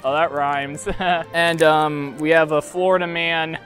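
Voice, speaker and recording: A young man rambling through a toy unboxing outdoors by a field, joking deadpan and halting with lots of fillers. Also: a little high, faint music behind. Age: young adult. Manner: rambling, self-mocking, halting with many fillers. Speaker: YouTuber unboxing toys. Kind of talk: jokey deadpan unboxing vlog. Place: outdoors by a field under trees. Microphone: lapel mic.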